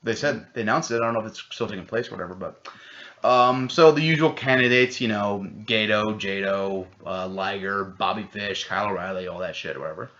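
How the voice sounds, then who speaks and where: A man co-hosting a casual podcast chat, rambling and excitable, swearing freely. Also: on the low side, fairly quick. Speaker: podcast co-host. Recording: dull lo-fi podcast audio.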